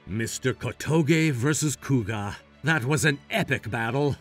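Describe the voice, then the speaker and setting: A man voicing an earnest, loyal young gangster in an anime-style cartoon, presenting to viewers with animated delivery. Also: medium pitch, a little slow, faint music behind, close to an everyday voice.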